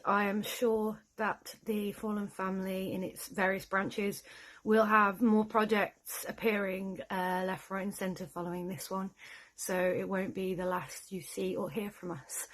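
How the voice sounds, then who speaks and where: A woman's voice: medium pitch, poor audio. A woman voice actor giving an unscripted thank-you message in an earnest, hesitant way.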